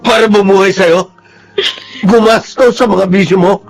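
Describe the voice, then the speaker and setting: A man's voice: sits high, fairly even intonation, a little slow, gravelly, soft background music, poor audio. A man playing a bitter, grieving young father in a Tagalog radio drama, speaking with resentful melodrama. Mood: cold anger.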